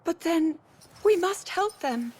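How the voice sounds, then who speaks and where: An actress playing a young, gentle and earnest heroine in a video game cutscene. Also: female voice, extremely high pitch, animated, slow pace, full character voice. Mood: concerned, urgent.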